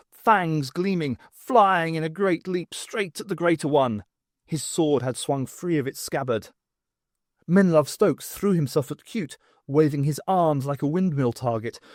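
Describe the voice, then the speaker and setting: A man's voice: on the high side, a little mellow, no echo, clean studio sound. A man reading scripted dramatic narration for an audiobook.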